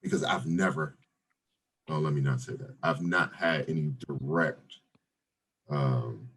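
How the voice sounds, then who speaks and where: A man around forty with a deep voice, talking casually as a guest on an interview podcast, with many fillers and restarts. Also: a little slow, a bit bright, slightly rough. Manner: laid-back, many fillers and restarts, says "brother" and "right?". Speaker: real estate investor guest.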